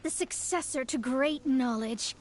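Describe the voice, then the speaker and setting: A woman's voice: high-pitched, unhurried, a little hiss or hum. A woman voicing a proud young astrologer in a game, grand and lecturing in manner. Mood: lofty. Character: proud young female astrologer, haughty and mystical. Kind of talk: game voice acting. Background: game music.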